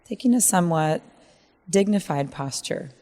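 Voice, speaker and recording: A woman leading a guided meditation in a gentle, measured way, leaving long pauses. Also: lowish voice, animated.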